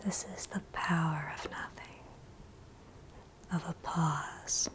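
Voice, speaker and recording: A woman whispering a scripted ASMR guided meditation in a breathy, gentle, soothing way, leaving long pauses between short phrases. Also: low-pitched, steady tone, poor audio.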